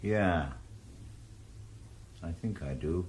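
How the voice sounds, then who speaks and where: An older actor playing a sardonic retired ex-detective in a radio drama. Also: male voice, low-pitched, drawn-out words, darkish tone, slight background noise. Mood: wry. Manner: dry, sardonic. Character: weary, wry retired male ex-detective.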